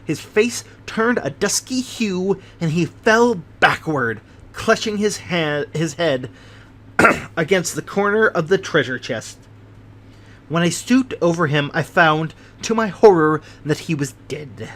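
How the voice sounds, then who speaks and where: A man voicing a dying, greedy old army major who confesses his guilt in a slow, heavy delivery. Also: a little high, lively intonation, fairly bright tone. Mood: confessing.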